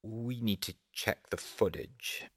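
A man's voice: fairly low pitch, takes it slowly, breathy edge, dry recording, studio quality, pitched above the performer's own voice. A man voicing a formal, seething holographic executive with a clipped, legalistic manner in an audiobook. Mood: tense, protesting.

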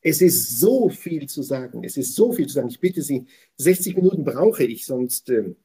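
A man's voice: mid-range voice, expressive, moderate pace, mellow, husky. A man talking as a guest on a weekly Torah portion show, emphatic and digressive, often repeating his phrases. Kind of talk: effusive conversational talk.

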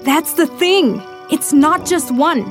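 An alarmed scientist in an audio horror drama. Her voice is high and firm, with faint music underneath. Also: slow. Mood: urgent, defiant. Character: firm, alarmed female lab researcher. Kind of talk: immersive audio drama acting.

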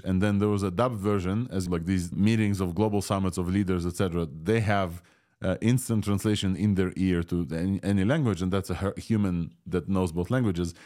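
A man's voice: sits low, warm tone. A man with a Russian accent, a native Russian speaker from Ukraine, hosting a casual, opinionated tech news podcast. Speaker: podcast host.

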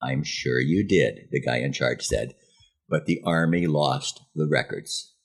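A man's voice: very deep voice, a little slow, husky. An American man in his seventies telling a first-person memoir as a podcast host, in a wry, self-deprecating way that acts out bits of dialogue. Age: 70s.

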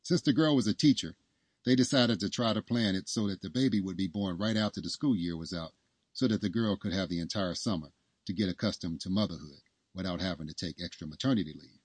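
A man's voice: low voice, quick pace, slightly rough, dry recording. A man reading a scripted story as its narrator.

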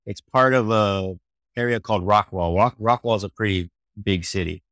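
A man's voice: fairly low pitch, moderate pace, dry recording, clean studio sound. A man in his forties from Hawaii, a children's book author and illustrator, talking easily as a guest in an interview and laughing now and then. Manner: relaxed, easygoing, laughs often, restarts sentences. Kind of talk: casual interview conversation.

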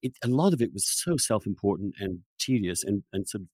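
A man's voice: fairly low pitch, lively intonation, a little fast, a little mellow, husky, no echo, clean studio sound. A middle-aged or older man with an English accent hosts a conversational interview, often repeating words, restarting and wandering off his point. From England. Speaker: podcast host and interviewer.

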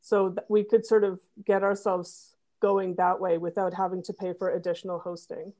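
A woman's voice: on the low side, little pitch movement, moderate pace, mellow. A woman guest on a podcast explaining how she runs her site, rambling with fillers. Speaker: podcast guest, web designer. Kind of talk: casual interview.

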